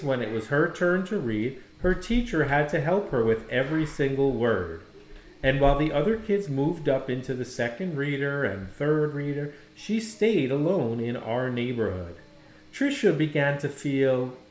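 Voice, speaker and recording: A man narrates a children's storybook like a teacher reading to a class. His delivery is expressive, with wide swings in pitch. The room adds clear echo. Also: measured pace. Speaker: educator reading a picture book aloud. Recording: echoey room.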